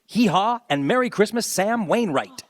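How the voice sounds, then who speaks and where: A man's voice: high-pitched, expressive, moderate pace, no echo, clean studio sound. An actor playing a declamatory townsman in a live radio play, reading aloud as if proclaiming. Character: bright announcer-like townsman reading out a festive telegram.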